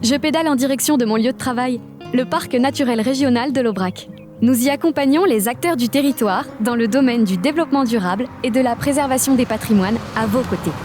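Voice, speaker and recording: High-voiced upbeat woman narrator. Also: darkish tone, soft background music. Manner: upbeat, informative, lists facts briskly. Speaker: regional nature park staff. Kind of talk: scripted explainer narration.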